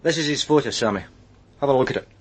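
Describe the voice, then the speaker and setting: A man's voice: medium pitch, quick pace, natural voice. Blunt police detective, played by a man. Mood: pressing. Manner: blunt, wry, leaning on people with quiet pressure. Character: hard-nosed male police detective, dry and coaxing. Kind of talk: naturalistic radio drama acting.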